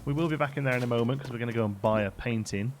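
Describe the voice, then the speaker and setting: A man's voice: rapid-fire, a little hiss or hum. A man chatting casually while streaming a game, thanking viewers and reading their gift notes. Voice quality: deep voice. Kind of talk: casual live gameplay chat. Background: game music.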